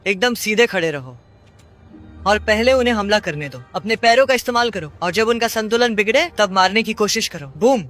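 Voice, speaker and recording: A man's voice: sits high, expressive, normal speed, fairly bright tone, soft background music. A man playing an upbeat, protective young superhero in a video game, coaching and reassuring as he speaks. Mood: encouraging. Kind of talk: video game voice acting, Hindi dub.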